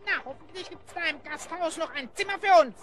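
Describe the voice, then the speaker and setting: Squeaky, bright, grumbling little goblin. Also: a little fast, faint music behind. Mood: curious, excitable. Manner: grumbling, cheeky. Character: small, cheeky, always hungry elf goblin. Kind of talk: German children's radio play acting.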